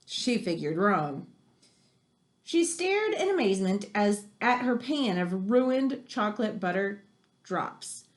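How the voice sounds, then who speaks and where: Woman read-aloud host: high-pitched, very expressive, chatty with casual asides. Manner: animated, exclamatory, chatty. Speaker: reader reading a nonfiction book aloud for children.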